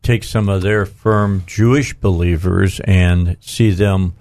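A man with a fairly low voice hosts a radio talk show. His delivery is conversational, and the sound is dry, with little trace of the room. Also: fairly even intonation, slow pace, a little mellow, no room sound.

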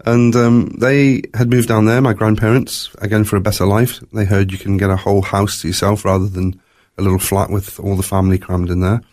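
A man with a low voice and a faint Scottish accent speaks as an interview guest. He tells his own story in a reflective, conversational manner. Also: flat delivery, a little fast, few pauses, darkish tone. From born in Scotland, moved to South Africa at three.

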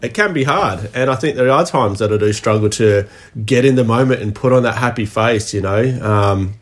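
A young father, talking things over in a measured, reflective way with his partner. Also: mid-range voice. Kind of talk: casual couple chat.

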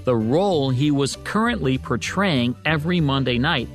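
A man's voice: mid-range voice, expressive, moderate pace, faint music behind. A man with an American accent hosting a radio show in scripted, co-hosted commentary.

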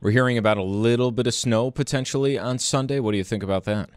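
Male sports interviewer, medium pitch, loose conversational phrasing with emphatic bursts, very dry recording. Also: fairly even intonation, fast, clear, resonant tone, no room sound. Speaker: sports talk host interviewer.

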